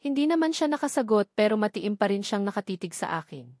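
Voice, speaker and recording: A woman's voice: mid-range voice, big pitch swings, fast, darkish tone, dry recording, clean studio sound. A woman with a Filipino accent narrating a romance novel as a dramatic scripted audiobook.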